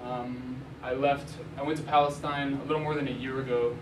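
A man's voice: mid-range voice, level tone, fairly quick. Young man speaking in an echoey hall. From Arizona, USA. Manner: casual, many ums and restarts. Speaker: journalist giving a talk. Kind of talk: lecture with slides. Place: university lecture hall, indoors. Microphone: room mic at a distance. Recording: echoey hall, mic far off, noisy.

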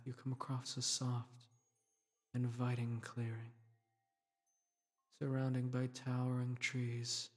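Guy doing a solemn dream king for a sleep meditation, soft soothing voice, super slow, almost flat, gently protective. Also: mid-range voice, lightly acted. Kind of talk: guided meditation, sleep-aid roleplay.

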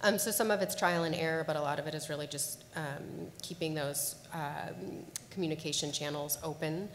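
Low-voiced woman in an echoey hall. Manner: measured, many fillers and restarts. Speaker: panelist on payer contracting. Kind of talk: conference panel answer. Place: large conference hall with audience. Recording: echoey hall from far off, faint hum.

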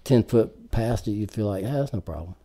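A man's voice: lowish voice, lively intonation, a little fast, warm tone. A man chatting casually as a podcast guest, laid-back and plain-spoken. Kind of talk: casual chat about golf.

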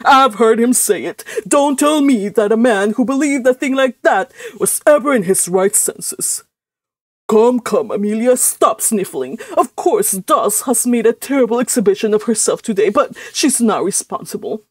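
Woman as scornful old uncle, storybook character, forceful, growling, indignant, declarative, dry recording. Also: fairly high pitch, lively intonation, normal speed, no room sound. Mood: impatient, scornful. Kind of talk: audiobook character voice.

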